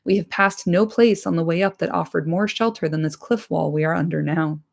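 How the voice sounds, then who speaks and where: A woman reads as a sober ranger man from a fantasy tale. The voice is steady, fairly low and crackles with fry. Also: brisk.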